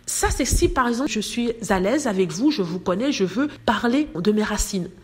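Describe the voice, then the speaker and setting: A woman's voice: medium pitch, expressive, normal speed. A woman vlogger talking straight to her viewers in casual commentary, indignant and emphatic.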